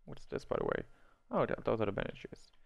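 A man's voice: lowish voice, wildly swooping pitch, a little fast, gravelly, husky, highs cut off. A man who is not a native English speaker gives rambling, think-aloud commentary while playing an online role-playing game, chuckling at his own mistakes. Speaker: gameplay video host.

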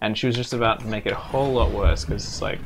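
A man's voice: lowish voice, fairly even intonation, fast talker. A man chatting casually and telling stories in a jokey, rambling way while gaming as co-host. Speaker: gamer co-hosting a let's play. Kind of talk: casual gaming banter and storytelling. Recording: background noise with controller clicks.

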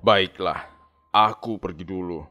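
A man's voice: low voice, unhurried, a little hiss or hum. A man playing a cold, commanding false messiah in a horror cartoon, speaking solemnly and imperiously. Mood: calm, commanding. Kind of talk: horror animation dubbing. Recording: loud music under the voice.

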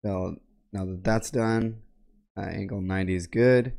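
A young man with a raspy, sick voice giving step-by-step software instructions in a plain, methodical way, clearing his throat now and then, recorded at home on a boom mic. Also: fairly even intonation, unhurried. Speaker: tech tutorial creator. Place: home gaming room, indoors. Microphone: boom mic on arm with headset.

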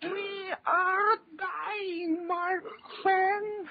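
A halting, horror-stricken radio scientist. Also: male voice, extremely high pitch, fairly even intonation, background noise, heavily acted. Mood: dying despair. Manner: slow, halting, melodramatic, formal. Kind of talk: 1930s radio horror acting. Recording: muffled 1930s radio broadcast with echo.

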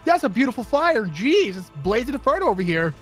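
Male co-player, playful gameplay banter, high-pitched, very animated delivery. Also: a little fast, faint music behind. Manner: excitable, exclamatory. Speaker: gaming video co-player.

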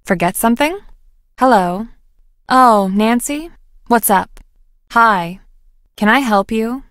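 Wry young lab scientist, dry recording. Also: female voice, on the high side, expressive, slow, a bit bright, no room sound. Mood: casual, friendly. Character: young Southern woman research scientist, wry, guarded, friendly. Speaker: voice actor in a video game. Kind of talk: video game dialogue acting.

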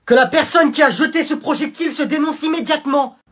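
A man's voice: very high-pitched, fast talker, fairly bright tone, voice pushed up. A young man voicing a bossy, scolding female schoolteacher in a comedy sketch. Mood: stern. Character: shrill angry female schoolteacher.

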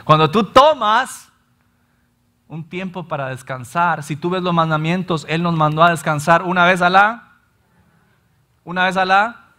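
A Salvadoran pastor in his thirties preaching an animated sermon from a church stage, often acting out his listeners' complaints. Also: high voice, unhurried, long pauses. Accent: Salvadoran Spanish. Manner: animated, gestures widely, acts out listeners' complaints. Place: church stage in front of a large screen.

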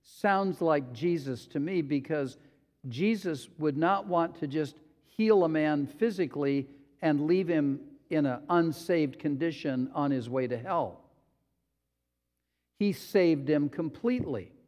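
A male pastor preaching a sermon in a slow, deliberate way, sometimes acting out quotes. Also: fairly high pitch, darkish tone. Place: church sanctuary. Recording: long hall reverb.

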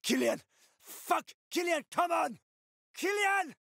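A man's voice: extremely high pitch, animated, slow pace, vocal fry, sharp tone, no echo, clean studio sound, full character voice. A male actor voicing a wry, streetwise survivor guide and ex-soldier in a video game, with colloquial, foul-mouthed talk. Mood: urgent, desperate. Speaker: video game companion character.

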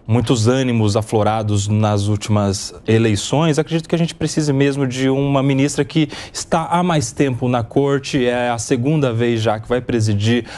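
A young man commentator on a studio news panel, speaking measured and assured. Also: mid-range voice, steady tone, a little mellow.

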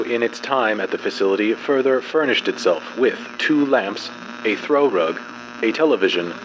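A man narrating a horror fiction file as a dramatic reading, in a formal, clinical, measured delivery. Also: medium pitch, highs cut off, noisy background.

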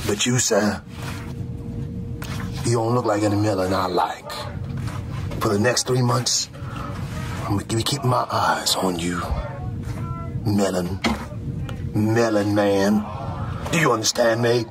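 A middle-aged American man playing a stern, mocking army drill sergeant, barking intimidating, deliberate lines in a comedic roleplay. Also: lowish voice, steady tone, music in the background, natural voice. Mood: mocking, suspicious. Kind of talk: comedic military ASMR roleplay.

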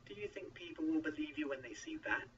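A man's voice: fairly high pitch, big pitch swings, quick pace, far from the mic, dull audio, slight background noise, pitched above the performer's own voice. A man voicing a divine being, solemn, commanding and reassuring, in a dramatized Bible story.

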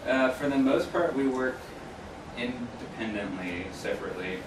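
A man in his thirties giving an informal talk as a guest speaker, answering questions in a halting way with self-corrections. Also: medium pitch. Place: small classroom, indoors. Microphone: distant camera microphone. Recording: roomy, distant mic, clear background noise.

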